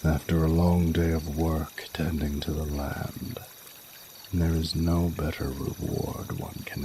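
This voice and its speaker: A man playing an ancient, regal male dragon in a romantic audio roleplay, speaking formally and seductively with deliberate pauses. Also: extremely low pitch, gravelly, noisy background, full character voice. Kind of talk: romantic audio roleplay, intimate monologue to the listener.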